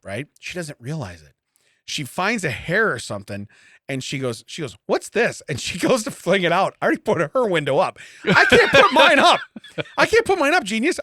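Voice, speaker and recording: A man's voice: high voice, sharp tone, no echo, clean studio sound. A man telling funny everyday stories in casual banter, mock-indignant and acting out what people said. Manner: jokey, mock-indignant, acts out quotes. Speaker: podcast co-host. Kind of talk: casual comic storytelling and banter.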